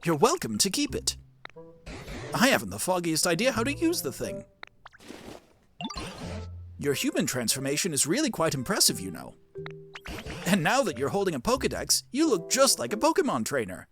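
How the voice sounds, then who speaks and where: Jovial elderly vine-monster professor for a video game, performed by a streamer, genteel, chuckling, highly animated. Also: normal speed, long pauses, soft background music. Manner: chuckling, quaint, genteel exclamations. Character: jovial old professor made of rustling vines. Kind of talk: cartoonish video game character dub.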